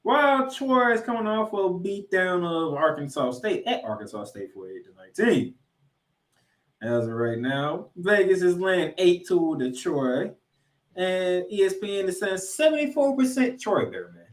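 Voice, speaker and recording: A man co-hosting a sports podcast, previewing games and picks with drawn-out, emphatic announcer-style introductions. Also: high-pitched, sing-song. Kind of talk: college football game previews and picks. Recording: roomy, echoey sound.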